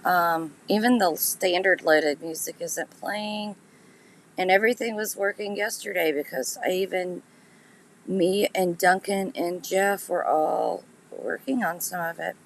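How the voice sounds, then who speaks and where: A woman hosting a live podcast, congested and nasal, with a raspy, gritty voice, chatting casually and laughing at herself as she talks to her listeners. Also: lowish voice, steady tone, unhurried, low-quality recording. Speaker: podcast host.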